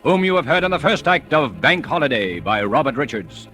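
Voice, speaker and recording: Bright, forceful American male radio announcer. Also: medium pitch, very animated, fairly quick, soft background music. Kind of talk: dramatic 1940s radio introduction.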